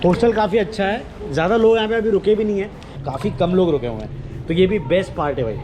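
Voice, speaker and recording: A high-pitched Indian man talks casually to camera as a travel vlogger, dropping English words into colloquial speech. The recording is noisy, with outdoor street and vehicle sound behind him. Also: quick pace. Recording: noisy outdoor vlog audio with traffic.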